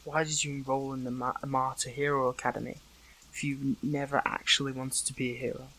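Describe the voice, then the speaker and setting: A man playing a weakened captive young superhero in an intimate audio roleplay, hurt but earnest, speaking haltingly with emotion. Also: medium pitch, little pitch movement, sharp tone, a little hiss or hum, close to an everyday voice, low-quality recording.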